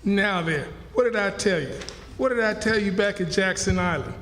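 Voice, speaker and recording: A man's voice: sits high, lively intonation, moderate pace, fairly bright tone. A man playing a superstitious, insistent runaway in a radio drama, speaking emphatically. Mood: triumphant, insistent. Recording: echoey hall stage, faint noise.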